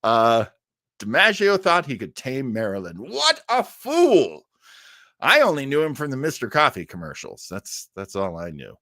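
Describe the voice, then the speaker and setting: A man's voice: mid-range voice, huge pitch swings, a little slow, sharp tone, no echo, studio quality. A middle-aged man hosting a web show in a casual livestream chat, rambling and effusive, repeating words for emphasis. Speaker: host of a web show on old time radio. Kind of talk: casual livestream chat, answering audience comments.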